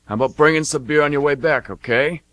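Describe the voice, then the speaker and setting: A man's voice: fairly high pitch, fast, fairly bright tone, dry recording, natural voice. A man playing a laid-back mercenary older brother in an amateur radio drama, with a casual, folksy delivery.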